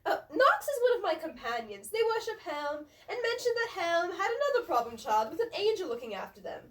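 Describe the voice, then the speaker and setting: Woman as whiny chatterbox half-elf man, very high and swooping, earnest comic fantasy type. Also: sing-song, brisk, a bit bright, recorded from a distance, exaggerated voice. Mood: rambling. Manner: chatty, whining, naively earnest. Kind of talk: comic fantasy fan fiction reading.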